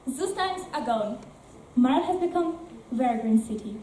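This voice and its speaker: A woman narrating background facts in a measured, explanatory way during a school play. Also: high-pitched, lively intonation, mellow. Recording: echoey room from far off, faint noise.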